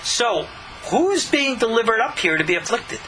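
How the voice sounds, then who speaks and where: A man's voice: very high voice, very animated, normal speed, pushed and bright, a little hiss or hum, rough recording. A man, a rabbi, teaching scripture in an earnest, explanatory way, raising his voice on key points. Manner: earnest, explanatory, rising emphasis on key words. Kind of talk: recorded Bible study lesson.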